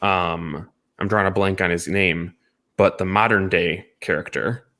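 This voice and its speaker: A man's voice: low-pitched, steady tone, measured pace, bright, forceful tone. A man co-hosting a casual film discussion, giving emphatic opinions and repeating points for stress. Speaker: podcast co-host reviewing films.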